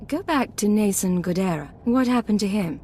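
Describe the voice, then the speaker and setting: Lady acting cool controlled spy heroine in a game, medium pitch. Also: lively intonation, moderate pace, a little mellow, a little hiss or hum, lightly acted. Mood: firm, probing. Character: composed, commanding female secret agent. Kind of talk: dramatic game dialogue.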